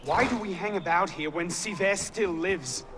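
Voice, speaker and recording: A man's voice: high voice, normal speed, noisy background, close to an everyday voice. An actor playing an impatient, hot-headed young male assassin in a video game, indignant and wry.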